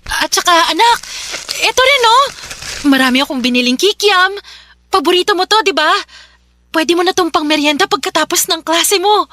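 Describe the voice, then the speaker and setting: Bright-voiced, pleading mother. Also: very high-pitched, animated, normal speed, no echo, exaggerated voice. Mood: eager, tearful. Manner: emotional, coaxing, imploring. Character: pleading, remorseful mother longing for her young child. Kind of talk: Tagalog radio drama acting.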